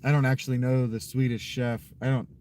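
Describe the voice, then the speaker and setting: A man's voice: mid-range voice, little pitch movement, a little fast, a little mellow. A man streaming and chatting with viewers in a joking, rambling way, swearing freely. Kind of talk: casual chat.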